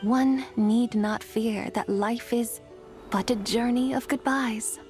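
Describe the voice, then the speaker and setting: Ancient, gracious immortal, high-voiced. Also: female voice, steady tone, slow pace, darkish tone, faint music behind. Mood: gentle, grateful. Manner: poetic, eloquent, serene. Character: ancient, gracious, wistful female immortal adept. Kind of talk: video game voice acting.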